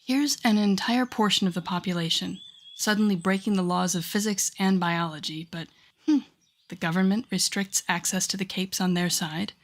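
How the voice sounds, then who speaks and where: A worldly villainess with a lowish voice , acted by a woman speaks straight to her rookie hero. She is wry and teasing, a telepath mentor in an intimate audio drama, and talks in an easy conversational way. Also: close to an everyday voice. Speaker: audio roleplay performer. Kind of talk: intimate second-person audio roleplay acting.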